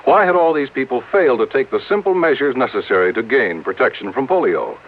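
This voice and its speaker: Animated male American radio announcer. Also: medium pitch, fast. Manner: formal, rhetorical, emphatic. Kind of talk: 1950s scripted radio announcement. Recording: narrow, tinny vintage radio recording.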